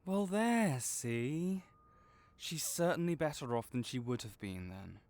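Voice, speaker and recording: A man playing a kind, patient veterinarian for magical creatures in a comforting audio roleplay, explaining things gently and encouragingly. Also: mid-range voice, sing-song, unhurried. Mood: earnest, sympathetic. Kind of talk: comforting audio roleplay, one-sided conversation.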